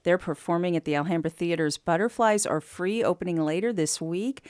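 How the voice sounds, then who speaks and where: A woman's voice: on the low side, brisk, darkish tone, no echo. A woman hosting a live radio call-in show, interviewing guests in a studio. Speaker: radio talk show host.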